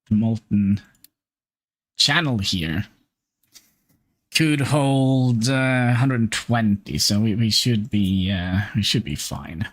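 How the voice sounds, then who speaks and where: Man thinking aloud over gameplay. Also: fairly low pitch. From Norway. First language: Norwegian. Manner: thinking aloud, halting with fillers. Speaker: streamer. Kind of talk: live gameplay commentary.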